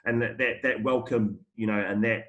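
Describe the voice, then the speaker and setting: A man with a low, flat voice on a video call, speaking in a friendly, thankful way. He often repeats a word before carrying on with the sentence. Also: normal speed, muffled recording. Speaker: school rugby tour representative.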